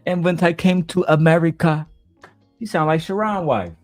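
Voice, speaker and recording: A man mimicking a Russian woman, loud and mocking. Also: on the high side, moderate pace, soft background music, voice pushed up, close to an everyday voice. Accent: mock Russian. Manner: exaggerated mocking parody. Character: tearful Russian immigrant woman, mocked.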